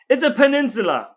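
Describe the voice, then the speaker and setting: A man's voice: sits high, husky. A man hosting a casual podcast, joking and teasing as he plays quizmaster in loose conversational banter. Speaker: podcast host, playful quizmaster. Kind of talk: casual banter between brothers.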